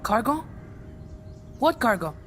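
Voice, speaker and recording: A woman voicing an excitable, naive young boy guerrilla in a video game, eager and exclamatory in manner. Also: a little high, slow, slight background noise, full character voice. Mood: earnest. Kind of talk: video game cutscene acting.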